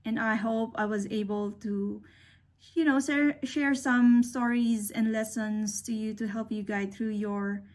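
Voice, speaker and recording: Middle-aged woman from the Philippines, English not her first language, slightly high, unhurried delivery, earnest mentor giving advice. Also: fairly even intonation. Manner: earnest, unhurried, with fillers and restarts. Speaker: speaker. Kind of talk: motivational talk to young engineers.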